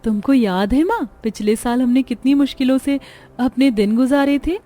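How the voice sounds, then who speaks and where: A woman's voice: high-pitched, moderate pace, mellow, voice pushed up, lightly acted. A woman voicing a poor young village daughter in a cartoon, earnest and plaintive. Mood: wistful. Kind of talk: Hindi cartoon dialogue.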